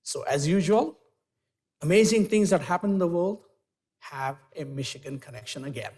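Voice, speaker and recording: A man's voice: a little high, a little mellow. A man moderating a university lecture, giving a formal, eager introduction and then interviewing the guest, with small hesitations. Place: university auditorium, live audience.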